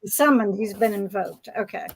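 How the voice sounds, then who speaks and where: A woman's voice: medium pitch, normal speed, creaky, slightly rough. A woman moderating a fan panel discussion in a conversational way.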